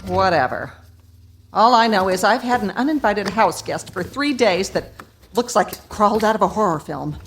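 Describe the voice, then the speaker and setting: A woman's voice: medium pitch, animated, normal speed, slight background noise, close to an everyday voice. Woman playing a scornful, domineering wife. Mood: indignant. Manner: scornful, sarcastic, commanding. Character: domineering, bigoted, nagging wife with menacing contempt. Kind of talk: radio drama acting.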